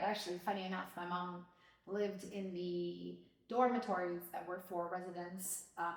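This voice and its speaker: A woman from Albania hosting a podcast, telling her childhood story in a reflective, casual way with many fillers. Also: fairly low pitch. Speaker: podcast host, mother of co-host. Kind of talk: casual personal conversation. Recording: roomy, distant from the mic.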